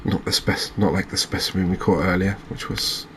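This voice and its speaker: Low, even-voiced man doing cozy game commentary. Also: normal speed, a little hiss or hum. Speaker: gaming video host. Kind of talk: soft-spoken ASMR game commentary.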